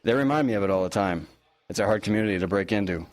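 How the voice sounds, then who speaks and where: An actor playing a polite, easygoing small-town police officer in an audio drama, informal and a little awkward, in natural conversational dialogue. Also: male voice, on the low side, rapid-fire, lightly acted. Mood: friendly.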